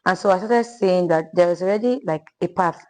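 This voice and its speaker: A woman's voice: on the low side, a little fast. Young woman, polite guest. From Nigeria. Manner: polite, says ma'am, loud voice. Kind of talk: podcast interview.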